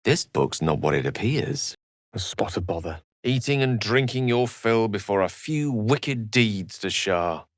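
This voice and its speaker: A man's voice: on the low side, animated, a little slow, dry recording, studio quality. A man voicing a courteous, self-assured wizard companion in a video game, articulate and wryly theatrical. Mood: observant. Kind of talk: video game character voice lines.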